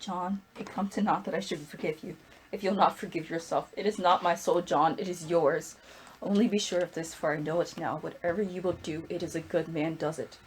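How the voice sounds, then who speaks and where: A woman acting the quiet, earnest wife of a condemned man in a period drama. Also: fairly low pitch, steady tone, fairly quick, lightly acted, rough recording. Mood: tender.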